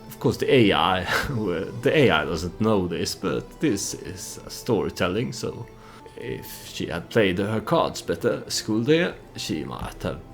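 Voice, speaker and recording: A man's voice: low voice, sing-song, unhurried, gravelly, soft background music. A man who is not a native English speaker gives casual gameplay commentary with storytelling, in a hesitant, meandering manner. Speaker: let's play host.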